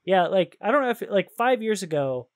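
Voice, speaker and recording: A man's voice: sits high, big pitch swings, fairly quick. A man co-hosting a podcast, chatting casually in a rambling, slangy, enthusiastic way about games and shows. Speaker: podcast co-host.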